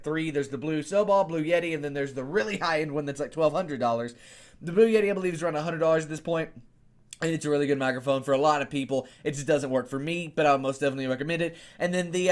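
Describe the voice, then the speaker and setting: A young American man with a fairly high voice talks straight to his viewers into a close desktop condenser mic. He is informal and rambling, often posing questions to himself as he gives his review. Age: young adult. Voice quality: higher-register voice, not deep or bassy. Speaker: YouTube host reviewing microphones. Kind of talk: casual video review, chatty to camera. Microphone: desktop condenser studio microphone, close up.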